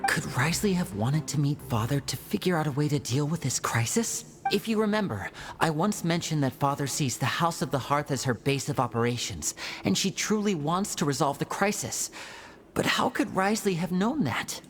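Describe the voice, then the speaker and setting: Casting: courteous young male strategist, low register, measured game dialogue over music. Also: expressive. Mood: earnest, grateful. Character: clever, composed, polite young man, a strategist. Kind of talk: video game voice acting. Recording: music behind the voice.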